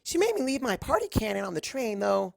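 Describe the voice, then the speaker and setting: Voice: mid-range voice, sing-song, fairly quick, creaky, no echo, studio quality, pitched above the performer's own voice. An amateur narrator voicing a bubbly, hyperactive young pony mare in a story reading. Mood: bubbly, earnest. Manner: chirpy, earnest, bouncy. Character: Pinkie Pie, bubbly hyperactive party-loving pony mare.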